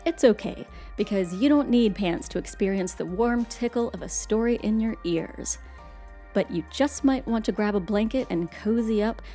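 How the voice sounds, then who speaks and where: A woman's voice: medium pitch, warm tone. A woman hosting a horror show in a scripted, tongue-in-cheek, teasing way. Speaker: host.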